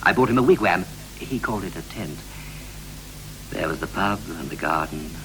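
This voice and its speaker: An actor playing a wistful married father who looks back on family life in measured, poetic lines of a 1960s radio drama. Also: low voice, lively intonation, pushed and bright. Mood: nostalgic. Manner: measured, poetic, reflective delivery. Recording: noisy, humming 1960s radio recording.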